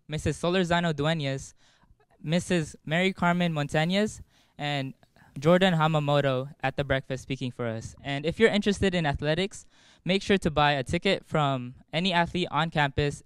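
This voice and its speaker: A man's voice: a little high, moderate pace, clean tone, no room sound. A teenage boy presenting a school sports segment with a handheld mic, in casual scripted banter. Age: teens. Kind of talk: school news broadcast. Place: studio.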